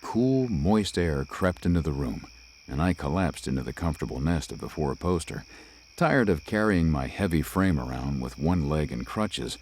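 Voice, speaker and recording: A man voicing a young, composed city lawyer who narrates his own ghost story in a measured, slightly formal way. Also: very deep voice, expressive, a little mellow, slight background noise. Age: young adult. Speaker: narrator and lead. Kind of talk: first-person audio drama narration.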